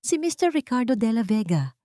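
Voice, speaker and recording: A woman's voice: a little high, big pitch swings, brisk, mellow, no room sound, studio quality, pitched above the performer's own voice. A woman voicing a greedy, scheming older mother-in-law in a storybook drama, with a commanding, insistent manner. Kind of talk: audio storybook drama dialogue.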